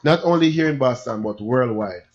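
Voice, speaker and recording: A man's voice: medium pitch, animated, moderate pace, dull audio. A man hosting an interview, asking long, rambling questions with enthusiasm. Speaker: interview host. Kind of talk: casual interview.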